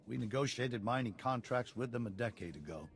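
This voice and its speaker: A male actor plays the leader of a besieged planet in a video game. He speaks formally and earnestly, with animated, mid-pitched delivery. Faint music sits behind him. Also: fairly quick, close to an everyday voice. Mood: earnest, explanatory.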